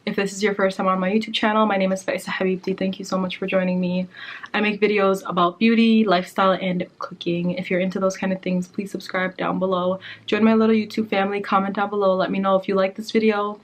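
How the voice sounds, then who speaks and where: A woman's voice: medium pitch, little pitch movement, fast. A young woman whose first language is Somali, vlogging earnestly to her viewers and often calling them "you guys.". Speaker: beauty and lifestyle vlogger. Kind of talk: casual talk to camera.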